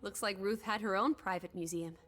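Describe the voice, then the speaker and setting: An actress playing a spirited, inquisitive, imaginative young female companion in a video game, expressive, playful and probing. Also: medium pitch, a little fast, slight background noise, natural voice. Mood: curious. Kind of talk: scripted game dialogue.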